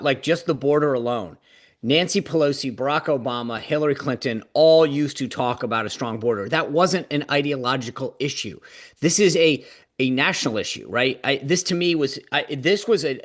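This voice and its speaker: A man's voice: medium pitch, a bit bright. A man on a political panel show, talking earnestly and restarting his phrases often. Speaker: political commentator. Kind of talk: panel discussion.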